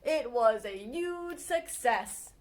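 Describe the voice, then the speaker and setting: Brief: female librarian, fairly high voice, animated children's story reader. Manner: expressive storytime reading, slowing on exciting moments. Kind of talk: children's read-aloud of a picture book biography.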